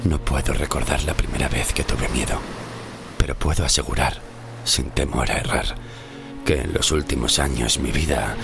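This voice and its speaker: A man performs a grim, haunted sailor from centuries ago in a horror audio drama. His voice is extremely low and crackles with gravel, and he speaks in a solemn, brooding, deliberate way. Music plays clearly behind him. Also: exaggerated voice. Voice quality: very deep, gravelly. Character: haunted poor 16th-century Castilian sailor, grim and fearful. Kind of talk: dramatic first-person horror audio fiction monologue.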